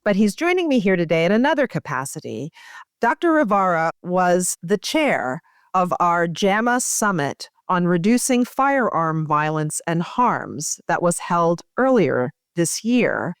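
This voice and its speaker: A woman with an American accent and a clear, smooth voice, hosting a conversational interview. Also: lowish voice, very animated, measured pace, no room sound, clean studio sound. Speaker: podcast host and journal editor-in-chief.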